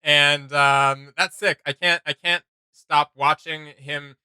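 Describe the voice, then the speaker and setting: A man with a very bright, forceful voice gives casual reaction commentary. He sounds excitable and fairly high-pitched, recorded close and dry. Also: slow pace, clean tone, no room sound. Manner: excitable, enthusiastic. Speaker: reaction video host.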